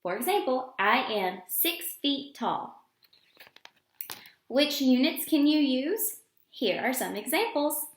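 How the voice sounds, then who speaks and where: A woman reads a children's picture book aloud in a fairly high voice that swoops expressively. She takes her time and stresses key words deliberately. The sound is roomy and echoey. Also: sing-song. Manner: deliberate, emphatic phrasing.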